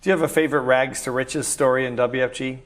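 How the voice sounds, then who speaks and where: A man interviewing a guest, asking plain, measured questions. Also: medium pitch, fairly even intonation.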